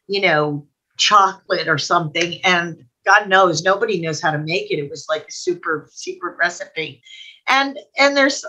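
A woman's voice: medium pitch, pushed and bright, rough recording. An older American woman chatting casually and in a rambling, conversational way as a co-host on a video call. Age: older, 60s or above. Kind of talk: casual chat. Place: indoor room at home, video call. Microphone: computer mic on video call.

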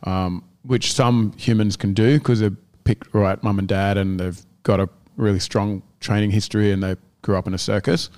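A man's voice: lowish voice, fairly even intonation, moderate pace, few pauses, a little mellow. A man co-hosting a casual gym podcast, rambling and often restarting as he searches for words. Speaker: gym owner and podcast co-host.

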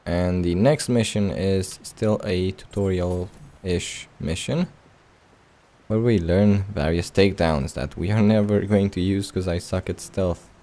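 A man's voice: low voice, unhurried, mellow. A man giving casual, informal gameplay commentary as a let's play host, a little self-deprecating.

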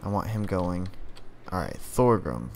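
Male gamer, low register, very unhurried casual commentary, noticeable noise and game ambience behind him. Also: big pitch swings. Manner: talks to units in short commands. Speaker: gaming video host. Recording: clear background noise under voice.

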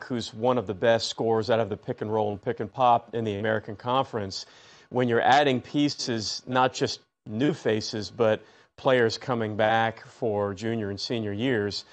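A young man working as a studio basketball analyst, making his point with emphasis and building an argument. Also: medium pitch.

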